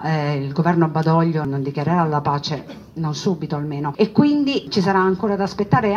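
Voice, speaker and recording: A woman performing a narrative monologue for an audience, expressive, with conversational asides and repeated phrases. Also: lowish voice, muffled recording, a little hiss or hum. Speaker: stage storyteller, monologue performer.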